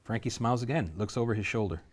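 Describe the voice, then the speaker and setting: A man reading the stage directions aloud at a script table reading, steady and measured. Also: medium pitch.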